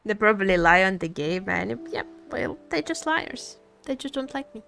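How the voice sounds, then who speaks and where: A woman streamer giving casual live commentary on a game, expressive and playfully complaining, often repeating her phrases. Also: mid-range voice, normal speed, gravelly. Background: game music.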